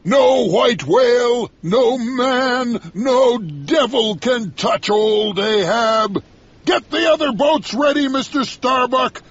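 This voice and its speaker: A man doing a raging, raspy old sea captain. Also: animated, slow, no echo, lo-fi sound, full character voice. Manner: bellowing, raspy, high strained voice. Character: old obsessed male sea captain, vengeful and fierce. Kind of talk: audiobook character voice.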